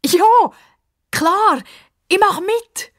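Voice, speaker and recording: Woman acting out an excitable, high-pitched young girl. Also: very slow, dry recording, clean studio sound, exaggerated voice. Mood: delighted. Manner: excited, calling out. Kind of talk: children's audio story acting.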